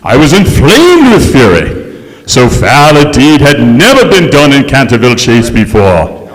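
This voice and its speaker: Pompous, swooping theatrical old ghost. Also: male voice, medium pitch, wildly swooping pitch, measured pace. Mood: indignant. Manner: pompous, grandiose, melodramatic. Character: vain, indignant centuries-old male ghost narrating his hauntings. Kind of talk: theatrical old-time radio storytelling. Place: live stage in a courthouse museum. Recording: echoey hall stage recording.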